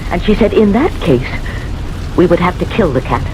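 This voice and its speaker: An actress playing an older, distraught and confused lonely woman in an old-time radio drama, halting and agitated as she repeats herself and insists on her story. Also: on the low side, lively intonation, noisy background, natural voice. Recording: old radio recording with music behind.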